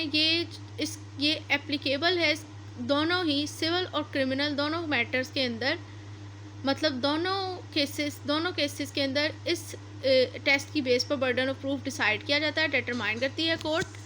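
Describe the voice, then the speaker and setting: A woman's voice: high-pitched, sharp tone, a little hiss or hum, low-quality recording. A woman teaching law, reading passages aloud and then explaining them step by step, repeating and rephrasing her points. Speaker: law lecturer.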